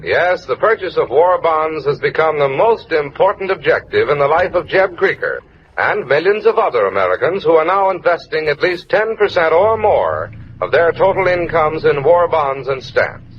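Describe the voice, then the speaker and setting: Guy reading an old wartime radio ad, persuasive, lively voice, fuzzy old sound. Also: fairly high pitch, normal speed, slight background noise. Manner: earnest and persuasive. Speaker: radio announcer. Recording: dull 1940s radio transcription.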